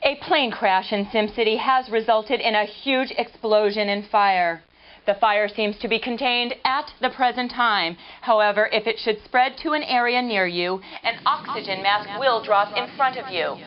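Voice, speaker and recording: An actress playing a polished, flippant American TV news anchor in a mock game newscast, with a deadpan delivery. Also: female voice, a little high, moderate pace, slight background noise, lightly acted. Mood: deadpan, brisk. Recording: dull lo-fi audio under music. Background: music.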